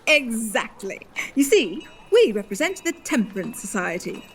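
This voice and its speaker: A woman's voice: a little high, sing-song, measured pace, a little hiss or hum. An actress playing a jolly, genteel lady charity collector in a radio play, prim and gushing. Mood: gushing, polite. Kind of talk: theatrical radio-play acting.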